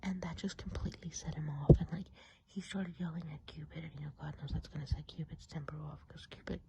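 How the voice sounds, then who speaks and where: A woman whispering softly and breathily in a chatty, confiding ASMR roleplay as a gossipy witch of the woods. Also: low-pitched, steady tone, creaky, heavy background noise. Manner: confiding, unhurried, casual gossip. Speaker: ASMR performer.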